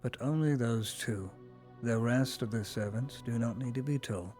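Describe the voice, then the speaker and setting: A man voicing a cold, scheming male inquisitor lord who delivers measured, menacing threats in a low voice. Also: a little mellow, faint music behind, natural voice. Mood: coldly menacing. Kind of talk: live roleplay acting.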